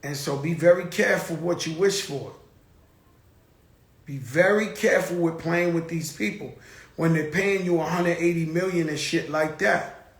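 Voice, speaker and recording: A man's voice: fairly high pitch, moderate pace, roomy sound. A man hosting his own show, talking in a blunt, profane, rambling casual monologue. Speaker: show host.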